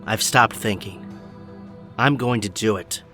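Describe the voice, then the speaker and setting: A man's voice: on the low side, unhurried, sharp tone, faint music behind. A man acting a haunted, stubborn young superhero in an audio drama, earnest and emphatic. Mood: haunted, resolute.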